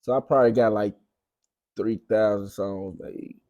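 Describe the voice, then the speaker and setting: A man's voice: on the low side, steady tone, moderate pace, mellow, no room sound, highs cut off. A young man from Arkansas with a Southern US accent, talking casually as an interview guest in slang, repeating phrases for emphasis. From Arkansas, United States. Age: young adult. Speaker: guest, rapper.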